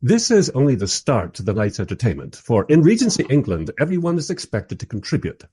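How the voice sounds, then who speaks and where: A man's voice: medium pitch, huge pitch swings, fairly quick, warm tone, slightly rough. A man playing a wry, bemused first-person narrator in a radio play, telling the story in a dry, literary way.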